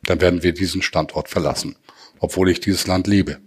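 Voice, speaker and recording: A man's voice: low-pitched, fairly even intonation, slightly rough. A middle-aged man, arguing emphatically and rhetorically as an expert in a debate. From Hamburg, northern Germany. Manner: emphatic, rhetorical, deliberate phrasing. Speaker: economic expert, panel guest. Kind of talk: impassioned debate argument.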